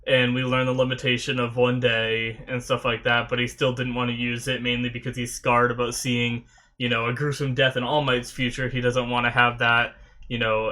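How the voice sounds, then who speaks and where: A man's voice: medium pitch, pushed and bright. A man hosting an anime reaction video, chatting casually to viewers while still sniffly and recovering from a sore throat. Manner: rambling, loose, talks with many fillers.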